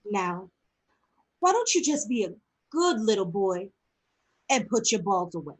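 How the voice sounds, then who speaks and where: A woman's voice: mid-range voice, big pitch swings, unhurried, breathy edge. A woman voicing a bored, dismissive receptionist with a drawling delivery. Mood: snide. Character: bored, snide female receptionist.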